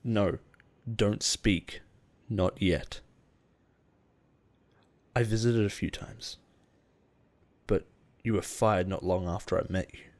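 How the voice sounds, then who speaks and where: A man playing an obsessive, possessive boss in love with his assistant, in an intimate audio roleplay with halting, pause-filled delivery. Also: lowish voice, fairly even intonation, darkish tone. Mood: brooding, intimate. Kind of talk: intimate dramatic roleplay monologue.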